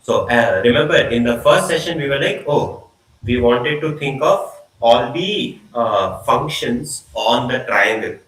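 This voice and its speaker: A man's voice: mid-range voice, animated, unhurried, a bit bright, distant mic. A young man giving an informal online maths lecture from a small room, repeating words and trailing off as he explains. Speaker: student lecturer. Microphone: laptop mic on video call. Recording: echoey video call audio.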